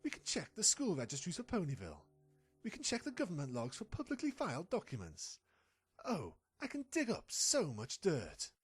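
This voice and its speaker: A man's voice: a little high, wildly swooping pitch, normal speed, husky, faint music behind, full character voice. A man voicing a bossy, scheming pony reporter who loves a scoop, in an amateur audio drama. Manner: scheming, scolding.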